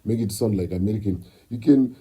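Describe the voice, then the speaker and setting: A man's voice: lowish voice, fairly quick, dark tone, breathy edge. A man, a musician and producer, speaking as a guest in an interview in an emphatic, lecturing way. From Zimbabwe. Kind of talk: candid interview talk.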